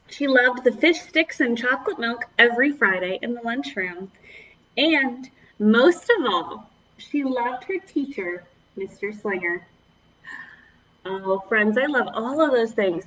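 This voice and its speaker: A woman's voice: fairly high pitch, recorded from a distance, muffled recording. A woman children's librarian leading a preschool storytime, reading aloud and chatting encouragingly to the children as her friends.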